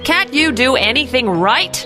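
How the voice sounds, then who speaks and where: Woman acting a furious scolding stepmother, pitched up. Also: very animated, a little slow, music in the background. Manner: scolding, indignant.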